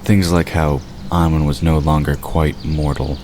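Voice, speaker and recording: A man narrating an audio drama in a calm, measured way. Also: extremely low pitch, slight background noise.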